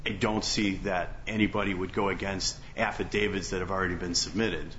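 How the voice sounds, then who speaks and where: A man speaking as a lawyer in oral argument on appeal, halting and hedging, often repeating his phrases. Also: low voice, fairly even intonation, a bit bright. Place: courtroom. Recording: muffled courtroom recording, faint background noise.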